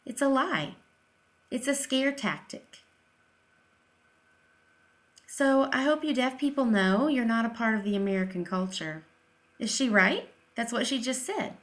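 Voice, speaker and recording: A woman's voice: fairly high pitch, a little slow, long pauses, fairly bright tone. A woman giving informal opinion commentary in an emphatic, sarcastic way, often posing rhetorical questions. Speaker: video commentator, deaf-education advocate.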